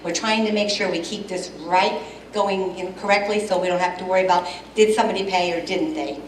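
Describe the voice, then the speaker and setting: A woman heading an event committee, loudly and chattily explaining rules at a club meeting. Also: medium pitch, fairly even intonation, fairly quick, slight background noise. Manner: chatty, explains rules, loud without a microphone. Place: meeting hall. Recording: echoey hall, voice from far off.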